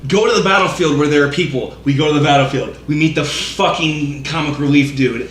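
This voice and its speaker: Male podcast co-host, higher voice, exasperated and foul-mouthed reviewer, hammers repeated phrases, roomy echo. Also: fairly quick. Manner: profane, exasperated, emphatic, repeats phrases for effect. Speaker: podcast co-host giving a film rant. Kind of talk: casual ranting movie review among friends. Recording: roomy echo, faint background noise.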